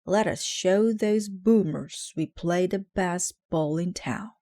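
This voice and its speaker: An eager little boy mouse played by a woman captains a storybook ball team. He exclaims bossy, rallying orders at a slow, deliberate pace. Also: lowish voice, dark tone. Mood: upbeat, proud. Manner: commanding, exclaiming.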